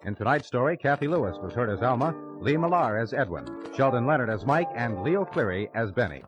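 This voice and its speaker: A man's voice: mid-range voice, very animated, fairly quick, over music. A man with an American accent reading scripted credits in a 1950s radio announcer style. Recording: muffled vintage radio broadcast.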